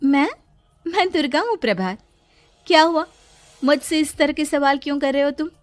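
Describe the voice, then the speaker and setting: A woman voicing a sweetly insistent, unsettling wife in a horror cartoon story, with a deliberate, uncanny manner. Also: high voice, expressive, no room sound, faint music behind. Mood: sweetly reproachful.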